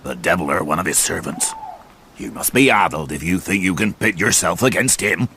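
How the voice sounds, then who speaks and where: Ominous, very deep-voiced gamekeeper. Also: male voice, expressive, normal speed, sharp tone, a little hiss or hum, exaggerated voice. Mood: ominous warning. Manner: menacing, blunt. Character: ominous, insolent, superstitious gamekeeper.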